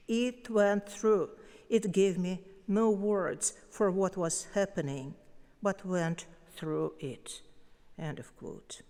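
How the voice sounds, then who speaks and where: A woman with a Russian accent reads a formal acceptance speech, unhurried and weighing every phrase. Her voice comes through smooth and resonant. A long hall reverb trails behind each pause. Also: medium pitch. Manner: slow, measured and deliberate, with weighty pauses. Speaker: prize laureate giving an acceptance speech. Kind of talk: formal prepared speech read aloud. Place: large concert hall at a ceremony.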